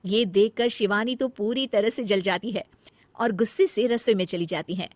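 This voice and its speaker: A woman's voice: mid-range voice, moderate pace, no room sound. A woman storyteller reading scripted narration of a comic family story.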